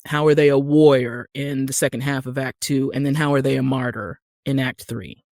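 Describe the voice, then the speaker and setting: A man's voice: mid-range voice, steady tone, moderate pace, a little mellow, no echo, clean studio sound. An American man from Utah, a podcast guest who works as an actor and screenwriter, explaining things conversationally. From Utah, USA.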